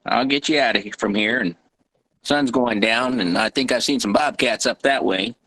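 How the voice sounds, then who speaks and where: A man playing a folksy, wry frontier doctor and former army medic, gravelly and drawling, in a table read of a western. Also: medium pitch, moderate pace, a bit bright, rough recording. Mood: wry, matter-of-fact.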